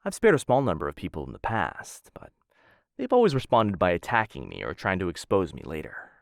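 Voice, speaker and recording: Man voicing suave male assassin in love, courtly ASMR roleplay, very animated intonation, close dry mic, formal phrasing, hesitant asides. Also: sits low, fairly quick, creaky, no echo. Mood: bashful, sincere. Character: smitten male assassin of noble house, suave, courtly, playful. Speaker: voice actor in a boyfriend roleplay audio. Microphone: close studio-style mic.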